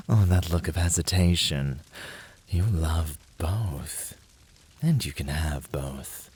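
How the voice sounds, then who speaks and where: A man performs a fiery, flirtatious incubus in an ASMR roleplay. The voice is low and dark, with a husky breathiness. He is playful and passionately devoted, drawing out his teasing, sultry lines and often dropping into whispers. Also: lively intonation, very slow, pauses often, heavily acted.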